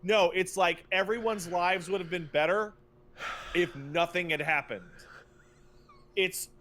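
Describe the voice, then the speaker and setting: A man's voice: high-pitched, fairly even intonation, a little slow, bright, forceful tone, a little hiss or hum. A man co-hosting a podcast, ranting casually about video games in an emphatic, exasperated, profane way. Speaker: podcast co-host. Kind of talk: casual animated rant about video game plots.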